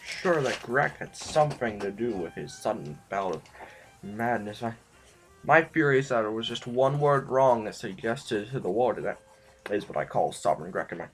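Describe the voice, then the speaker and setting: A disillusioned, poetic duke played by a male actor in an audio drama. He muses haltingly in a medium-pitched voice, then breaks into theatrical bitterness. Soft music plays behind him. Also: expressive, lightly acted, rough recording. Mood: musing. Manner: halting, musing, theatrical outbursts. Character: rambling, bitter, disillusioned duke and mentor, fond of poetry.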